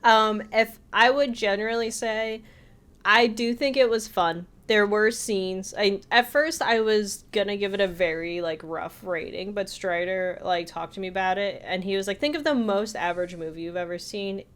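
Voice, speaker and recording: A woman's voice: medium pitch, moderate pace, fairly bright tone, clear, resonant tone. A woman co-hosting a podcast, talking through a film review in a casual, chatty, hedging way. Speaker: podcast co-host.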